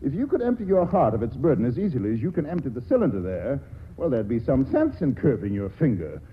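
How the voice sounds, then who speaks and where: An actor playing a flippant, witty man who keeps joking even when a gun is aimed at him, in the style of 1940s radio acting. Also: mid-range voice, quick pace. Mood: lecturing. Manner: glib, wry, talkative. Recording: tinny old radio broadcast with faint hiss.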